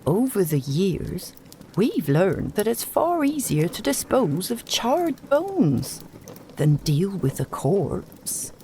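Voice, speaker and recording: A woman voicing a calm, courteous male village elder with quiet menace, measured and polite in a storybook reading. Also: medium pitch, slight background noise, voice pushed up. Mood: quietly menacing.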